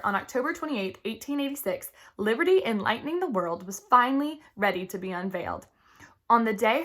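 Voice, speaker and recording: A woman reading a children's book aloud in an expressive, animated way, as a storybook reader. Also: mid-range voice. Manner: animated, acts out dialogue.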